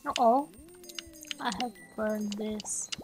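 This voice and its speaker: A Brazilian woman whose first language is Portuguese, commenting slowly and haltingly in English as she plays and thinks aloud. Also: mid-range voice, over music. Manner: slow, halting, thinking aloud while playing. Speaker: gaming channel host. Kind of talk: gameplay commentary.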